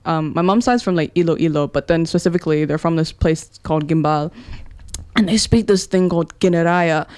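A woman's voice: fairly low pitch, darkish tone, smooth. A young woman with a New Zealand accent chatting casually as an interview guest, in a playful, slangy way. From Wellington, New Zealand. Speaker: indie musician, interview guest. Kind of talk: casual chat.

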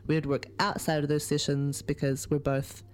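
A woman's voice: low voice, flat delivery, normal speed, darkish tone. Brief: laid-back woman artist guest, giggly, chatty, studio mic. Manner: giggly, lots of "like" fillers. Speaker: musician guest. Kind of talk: casual radio interview chat. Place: radio studio.

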